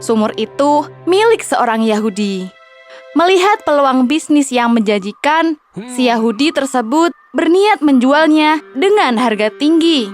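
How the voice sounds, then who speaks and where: High-voiced woman storyteller. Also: expressive, measured pace, faint music behind. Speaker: narrator. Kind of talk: scripted children's story narration.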